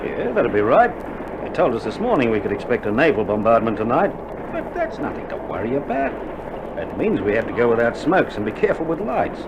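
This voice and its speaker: An actor playing a reassuring Australian army doctor in a war radio drama, speaking in casual slang and playing down danger. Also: male voice, mid-range voice, huge pitch swings, a little fast. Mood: casual, unworried. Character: breezy, reassuring male army doctor in wartime field hospital. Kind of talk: 1960s radio drama acting. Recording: muffled old vinyl drama, loud battle noise.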